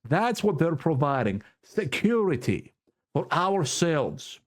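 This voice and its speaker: A man's voice: a little high, very animated, takes it slowly. A man from Cyprus with a Greek Cypriot accent, giving a fervent, preachy political monologue to his own camera and repeating words for emphasis. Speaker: vlogger. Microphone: self-recorded camera setup.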